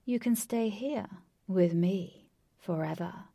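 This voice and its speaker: A woman voicing a pompous male villain in a storybook, theatrical and gloating, booming out slow taunts. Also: creaky, dark tone, dry recording, muffled recording. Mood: commanding, wooing.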